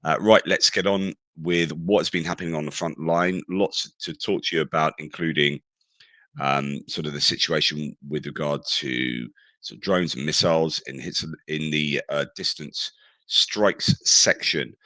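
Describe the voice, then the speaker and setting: A hoarse man with an extremely deep, bright, forceful voice talks through a war news update. He rambles and stops to correct himself often, and the dry, close sound has almost no room echo. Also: fairly even intonation, measured pace. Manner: rambling, with frequent self-corrections. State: hoarse from shouting at concerts. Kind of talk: casual spoken analysis.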